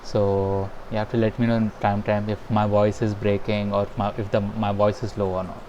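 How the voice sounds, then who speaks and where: Halting man teaching over a video call. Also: on the low side, little pitch movement, mellow, a little hiss or hum, rough recording. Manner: halting and hesitant, many fillers and restarts. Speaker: instructor. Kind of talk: live online programming lesson. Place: home in a rainy town.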